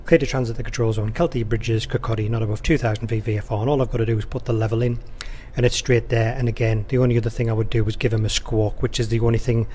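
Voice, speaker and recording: A man with a medium-pitched voice gives an informal walkthrough as an air traffic controller. He explains things conversationally and slips into clipped radio phraseology. There is noticeable room noise behind him. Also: fairly even intonation, quick pace, few pauses, darkish tone. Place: indoor control room or workstation, beside a touchscreen.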